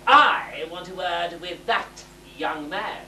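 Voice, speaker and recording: A woman in an amateur stage pantomime playing a menacing male royal advisor, a villain with drawn-out, deliberate delivery. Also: lowish voice, animated, sharp tone, exaggerated voice. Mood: sinister. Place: hall stage, distant microphone. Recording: echoey hall, far off, noisy.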